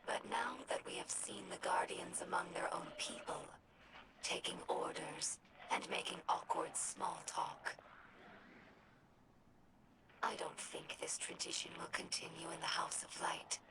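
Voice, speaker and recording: A woman playing an earnest young female alien scholar in a video game, with a breathy, whispery voice and measured, formal phrasing. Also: on the low side, steady tone, poor audio. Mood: solemn, thoughtful. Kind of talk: video game character dialogue. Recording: music behind the voice.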